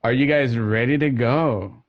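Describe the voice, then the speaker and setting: A man's voice: mid-range voice, measured pace, lo-fi sound. A man hosting a show, introducing it in an announcer-like way with casual asides, recorded at home. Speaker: host. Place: at home, remote ensemble session.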